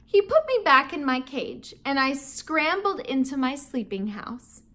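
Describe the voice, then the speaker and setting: A woman teacher reading a children's novel aloud, with expressive, animated storytelling. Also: high-pitched, unhurried.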